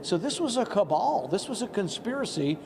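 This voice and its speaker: A man's voice: high-pitched, sing-song, normal speed, vocal fry, long reverb tail, noisy background. An American man giving emphatic, accusatory political commentary.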